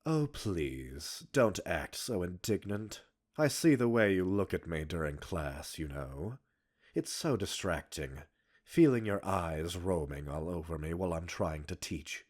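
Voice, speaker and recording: A man's voice: low-pitched, expressive, a little slow, darkish tone. A man playing a smug, arrogant male professor in an ASMR roleplay, erudite and teasing, flirtatious and condescending as he talks like a scientist. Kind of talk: ASMR roleplay audio drama.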